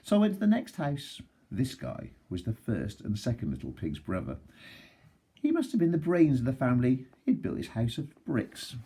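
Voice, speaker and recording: A husky, mellow storybook wolf, acted out by a man reading aloud who pleads his own innocence. He is folksy and aggrieved, with pitch that swings in a lively, animated way. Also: normal speed. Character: self-justifying, folksy wolf telling his side. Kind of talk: expressive children's storybook read-aloud.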